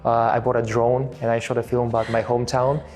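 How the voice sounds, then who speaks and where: A man in his thirties from Bulgaria with a Bulgarian accent, telling his story in a relaxed interview, pausing often with fillers and restarts. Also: mid-range voice, soft background music. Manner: halting, many fillers and restarts. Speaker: interview guest, filmmaker. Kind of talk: casual interview talk.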